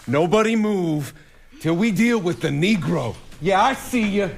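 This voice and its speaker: A man playing a menacing racist mob thug in a radio drama, with a gravelly, hostile voice. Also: high voice, expressive, measured pace, heavily acted. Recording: roomy echo with music behind.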